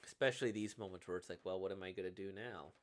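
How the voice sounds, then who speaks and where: A man giving casual, off-the-cuff commentary on a game, rambling as he thinks out loud and now and then voicing imagined lines. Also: low-pitched, quick pace. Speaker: streamer reacting to a visual novel.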